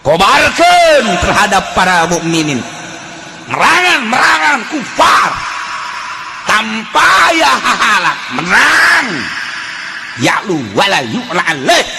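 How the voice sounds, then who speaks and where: High-pitched Sundanese-accented male preacher. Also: takes it slowly, vocal fry, heavy background noise. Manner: animated, shifting between chanting, shouting and folksy asides. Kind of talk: fiery religious sermon with storytelling.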